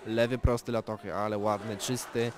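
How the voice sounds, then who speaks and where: Male boxing commentator, even monotone delivery, steady analytical play-by-play, crowd noise loud behind him. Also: fairly low pitch. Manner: calm, measured play-by-play with technical analysis. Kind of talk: live sports commentary. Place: boxing event venue.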